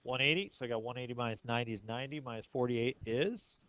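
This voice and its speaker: A man's voice: mid-range voice, fast talker, fairly bright tone, no echo. A man teaching a math class step by step, often checking understanding with questions. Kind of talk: classroom lesson, explaining step by step.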